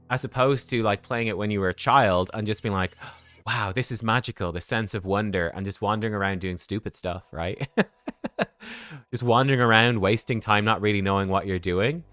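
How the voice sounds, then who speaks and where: A man's voice: mid-range voice, fairly bright tone. A man in his thirties chatting casually with viewers on a game livestream, rambling and joking at his own expense. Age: 30s.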